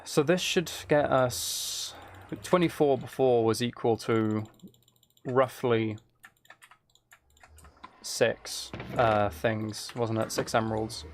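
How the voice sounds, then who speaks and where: A man casually commentating on his game and chatting to viewers, muttering along in a low-key way, close to the mic. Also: slow, long pauses, slight background noise. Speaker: streamer playing a game while chatting with viewers.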